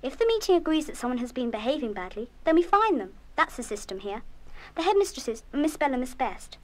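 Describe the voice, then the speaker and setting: A woman's voice: high voice, very animated, fairly quick. A woman playing a bossy older schoolgirl in charge of a dormitory, firm and officious, in a children's radio play. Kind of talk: full-cast children's radio play acting.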